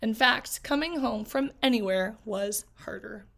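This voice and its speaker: A woman, a teacher, reading a picture book aloud to children, expressive and chatty, with asides to her young listeners. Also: on the high side, unhurried, gravelly, background noise.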